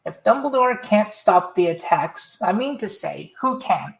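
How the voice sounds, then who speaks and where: A pompous, flustered government man voiced by a woman, blustering and hesitating. The voice is strained, creaky and slow, with a roomy echo around it in this audio drama. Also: medium pitch, expressive. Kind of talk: fan audio drama acting. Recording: roomy, echoing voice track.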